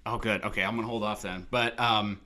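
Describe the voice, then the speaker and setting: A man co-hosting a casual movie podcast, his voice raspy from a cold, laid-back and self-deprecating. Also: on the low side, steady tone, a little fast, bright, forceful tone. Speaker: podcast co-host.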